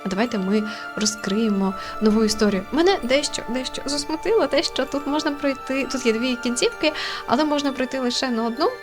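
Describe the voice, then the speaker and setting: A woman's voice: sits high, a little fast. A woman hosting a casual game playthrough, thinking aloud in a chatty, informal way. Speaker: gaming channel host. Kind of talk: casual let's-play commentary and reading aloud. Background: game music.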